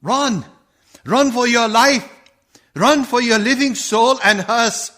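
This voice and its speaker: Man playing a slow, commanding vampire hunter. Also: very high voice, bright, forceful tone, exaggerated voice. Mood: alarmed. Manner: emphatic, rhetorical questioning. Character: grave, commanding, knowing older doctor and vampire hunter. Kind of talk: dramatic radio play acting.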